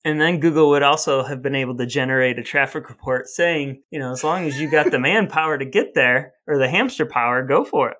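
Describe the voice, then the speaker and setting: A man's voice: medium pitch, a little fast, hardly any pauses, fairly bright tone. A man co-hosting a podcast in casual chat, asking curious questions and adding dry quips. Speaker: podcast co-host.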